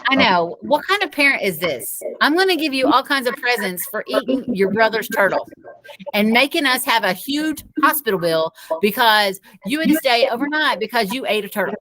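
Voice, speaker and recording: A woman's voice: a little high, expressive, moderate pace, a bit bright, slight background noise, rough recording. A woman chatting casually as co-reader of a children's story read-aloud.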